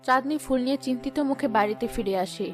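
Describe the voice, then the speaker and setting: A woman's voice: sits high, quick pace, faint music behind. Woman narrating a scripted fairy tale.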